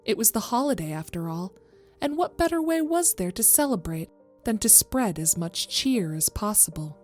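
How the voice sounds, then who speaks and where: A woman's voice: mid-range voice, big pitch swings, moderate pace, darkish tone, soft background music. A woman narrating a scripted horror story as its storyteller.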